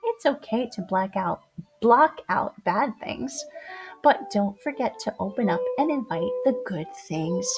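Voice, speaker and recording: Female storyteller for a children's picture book: lively, swooping delivery at a slow pace, playful emphasis, over music. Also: medium pitch, low-quality recording. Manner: playful, expressive, drawn-out words and dramatic emphasis. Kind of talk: animated rhyming storybook read-aloud for young children. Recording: music behind the voice.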